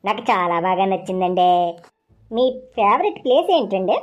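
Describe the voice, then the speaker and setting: A woman's voice: medium pitch, animated, normal speed, lo-fi sound, faint music behind, close to an everyday voice. A woman voicing a sly, awkward young bachelor in a comic cartoon, talking colloquially with exclamations. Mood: polite, awkward.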